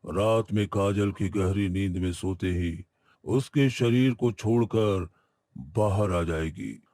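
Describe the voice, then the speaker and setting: A man voicing an all-knowing holy hermit who gives calm, assured instructions in an animated story. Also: fairly low pitch, dry recording, studio quality. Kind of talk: Hindi animated moral story acting.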